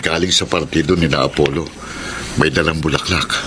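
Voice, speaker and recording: Middle-aged husband, very deep voice, calm, measured, supportive, radio drama, clearly noisy background. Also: male voice. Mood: calm, sympathetic. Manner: measured, reassuring. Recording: noisy track, ambient rumble.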